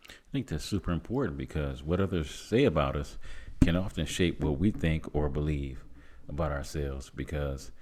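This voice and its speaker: A man's voice: a bass voice, normal speed, a little mellow, a little hiss or hum. A man in his fifties hosting a podcast, plainspoken and affirming, chatting casually with his guest. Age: 50s. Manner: affirming, frequent backchannel agreement, plainspoken. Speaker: podcast host. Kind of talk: casual chat.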